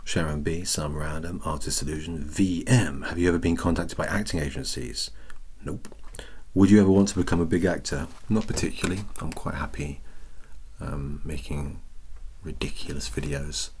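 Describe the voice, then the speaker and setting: A soft-spoken man, reading out questions and answering them in a wry, self-deprecating way. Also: rumbling low, normal speed, slight background noise. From Wales. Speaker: ASMR channel host.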